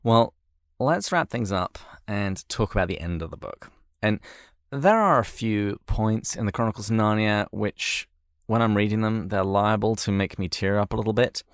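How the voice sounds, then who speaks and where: Low-voiced male podcast host, dry close sound. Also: normal speed, no room sound. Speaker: podcast host interviewing a guest. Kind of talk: thoughtful conversational interviewing.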